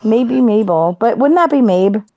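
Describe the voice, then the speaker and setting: A woman with a slightly high voice talks casually about a book on an online call. She is informal and questioning, and her sound is very dry. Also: animated, unhurried, warm tone, no echo, lo-fi sound. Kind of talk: casual book club chat.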